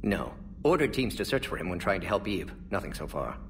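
An actor playing a brisk older alien scientist in a video game, speaking in clipped, clinical sentences without articles. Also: male voice, low voice, very animated, a bit bright, distant mic, a little hiss or hum, exaggerated voice. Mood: grimly matter-of-fact.

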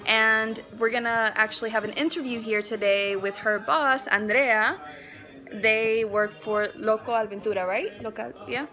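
A woman's voice: fairly high pitch, fairly even intonation, moderate pace, bright, forceful tone. A young woman hosting a casual, conversational interview. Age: young adult. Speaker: interviewer and vlog host. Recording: music behind the voice.

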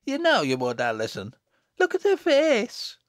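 A man's voice: high voice, very animated, moderate pace, fairly bright tone, no echo, studio quality, pitched above the performer's own voice, heavily acted. A man voicing a spiteful, knowing little village girl in an audiobook, with a pert, taunting manner.

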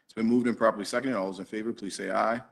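A man in his forties chairing a city council meeting over a video call, calling votes in brisk, formulaic phrases. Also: fairly low pitch, steady tone, creaky. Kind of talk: formal meeting procedure. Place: office with flags behind, on a video call.

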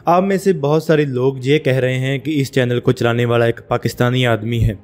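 A man from India with a Punjabi accent, narrating a scripted defence news explainer as a steady voice-over. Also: medium pitch, brisk, soft background music.

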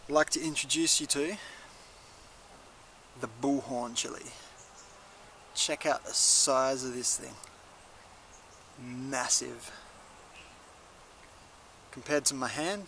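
A man's voice: medium pitch, fairly even intonation, takes it slowly, long pauses, pushed and bright, slight background noise. A young man giving a casual, laid-back review to camera in a conversational way. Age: young adult. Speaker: chilli reviewer, video host. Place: outdoors in a leafy garden.

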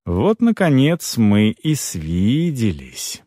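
Man playing gloating male villain, fantasy audiobook, mocking, triumphant, drawn-out phrases, dry sound. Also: medium pitch, huge pitch swings, dark tone, no echo, pitched below the performer's own voice, exaggerated voice. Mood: smug gloating.

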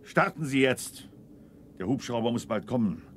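An actor playing an authoritative army general in a radio play, with a clipped, commanding manner. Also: male voice, mid-range voice, animated, normal speed, a bit bright, slight background noise, close to an everyday voice.

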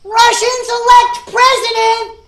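A man's voice: extremely high pitch, little pitch movement, unhurried, fairly bright tone, reverberant, pitched above the performer's own voice. A man voicing an impish young newsboy in an audio story, with theatrical hawking manner. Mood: excited hawking. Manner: theatrical street-hawker calls, stretched words. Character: impish boy newsboy hawking headlines, boyish mischief maker.

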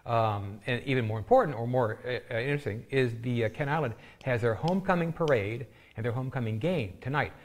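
Halting middle-aged American man guest. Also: medium pitch. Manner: unhurried, halting, with many fillers and restarts. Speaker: school system guest. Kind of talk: casual studio chat.

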